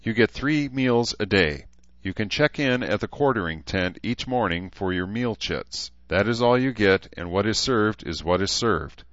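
A man voicing a brisk, no-nonsense woman clerk who speaks curtly and in a businesslike way. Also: lowish voice, lively intonation, fairly bright tone, no room sound, lo-fi sound, voice pushed up. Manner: curt, businesslike.

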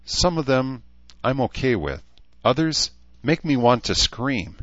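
A man's voice: mid-range voice, sing-song, unhurried, fairly bright tone, no echo, muffled recording, voice pushed up. A man voicing a vulnerable, hesitant human woman who gives short answers in an audiobook story. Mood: hesitant, subdued.

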